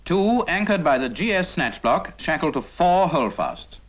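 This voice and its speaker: A man's voice: a little high, big pitch swings, measured pace. A British man narrating a scripted 1950s military training film in an instructional manner, speaking directly to the trainee. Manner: didactic, addresses the trainee with rhetorical questions. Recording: dull 1950s film soundtrack.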